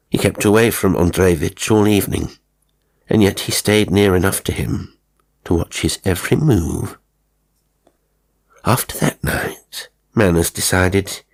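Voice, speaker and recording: Seeking low-voiced man for horror audiobook narration, deliberate and dramatic in phrasing. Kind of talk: scripted horror story narration.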